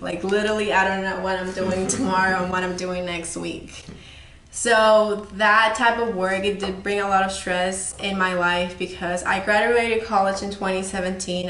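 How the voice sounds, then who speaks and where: A woman's voice: medium pitch, a bit bright. A woman in her twenties from El Salvador, giving a candid, earnest personal motivational talk. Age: 20s. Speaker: speaker. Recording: echoey room.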